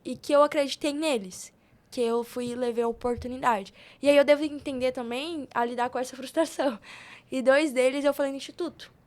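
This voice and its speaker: A woman's voice: high voice, steady tone, brisk. A young Brazilian woman telling personal stories in a casual, informal podcast conversation, often acting out bits of quoted dialogue. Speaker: podcast guest.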